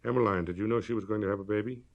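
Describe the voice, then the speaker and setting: A man's voice: fairly low pitch, steady tone, racing, vocal fry, dull audio. A man voicing a stern country doctor in a story, questioning tersely. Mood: harsh. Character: stern male country doctor, harsh, later gently discreet.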